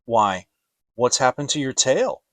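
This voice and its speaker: A man's voice: medium pitch, very animated, a little slow, a bit bright, dry recording. A man voicing a kindly, earnest bear in a children's storybook, simple and helpful, speaking with emphasis. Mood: concerned. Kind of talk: dramatic children's audiobook reading.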